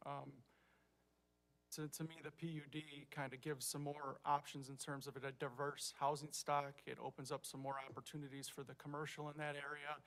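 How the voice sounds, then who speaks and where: An American man speaking as a city council member in a public meeting, making measured, argumentative points with emphasis. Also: on the high side, fairly bright tone. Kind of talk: deliberative meeting remarks.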